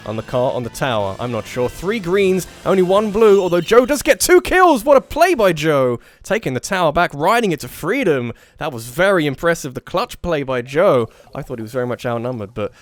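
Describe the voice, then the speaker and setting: A man's voice: on the high side, sing-song, brisk, hardly any pauses, soft background music. A man casually commentating an online match he organised, slightly nasal, rambling and correcting himself as he calls the play. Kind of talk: casual live game commentary.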